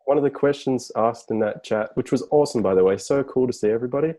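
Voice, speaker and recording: A man chatting casually as a podcast guest over a video call, relaxed and rambling with fillers. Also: mid-range voice, quick pace. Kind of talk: casual chat.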